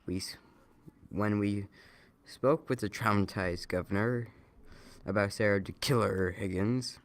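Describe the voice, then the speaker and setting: A man reading a scripted amateur news report, somewhat haltingly. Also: lowish voice. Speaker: news reader.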